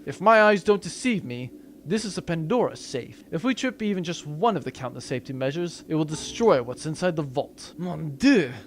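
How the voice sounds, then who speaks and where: A man's voice: a little high, sing-song, normal speed, slight background noise. A man playing an alluring French safecracker in a space crew, with a French accent, in a sci-fi comedy audio drama. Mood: impressed, wary.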